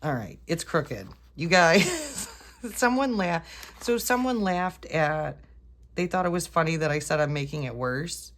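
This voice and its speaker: A congested woman with a very low voice chats unhurriedly as she works through a craft project. She keeps talking to her viewers, changing her mind and correcting herself. Her nose is stuffed up, so her voice sounds a bit off. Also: expressive, a little slow, fairly bright tone. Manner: chatty, self-correcting, talks to viewers while working. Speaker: planner vlogger. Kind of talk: casual rambling craft chat.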